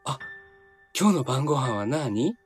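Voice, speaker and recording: A man's voice: medium pitch, very animated, unhurried, soft background music. A man voicing a sweet, innocent five-year-old boy in a radio drama, with simple childlike phrasing. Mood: eager, innocent.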